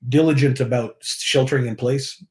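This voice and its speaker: A man's voice: medium pitch, steady tone, brisk. Male guest from Mexico, church worship director, mid-range voice, chatty and laugh-prone. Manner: chatty, laughs often, frequent restarts. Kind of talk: casual interview chat.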